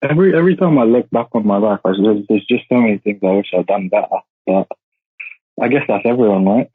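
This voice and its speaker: A man's voice: fairly low pitch, quick pace, breathy edge, no room sound. A man in his twenties who grew up in India, chatting casually as a podcast guest over a call, rambling with many "like" fillers. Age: 20s. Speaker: podcast guest, law graduate. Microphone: calling in remotely. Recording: tinny, phone-like call audio.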